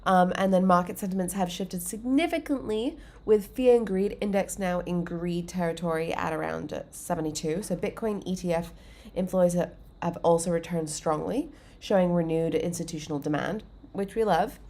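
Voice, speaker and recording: Woman livestream host, lower voice, stuffy and congested, upbeat rambling chat with lots of "um" and "like". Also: normal speed, a little mellow. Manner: chatty, filler-heavy, upbeat. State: congested, under the weather.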